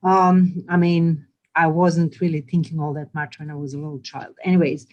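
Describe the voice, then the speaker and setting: Woman, lowish voice, lots of ums, thinking out loud. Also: flat delivery, normal speed, highs cut off. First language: Serbian. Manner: thoughtful, hesitant, many ums. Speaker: retreat participant. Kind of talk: casual discussion.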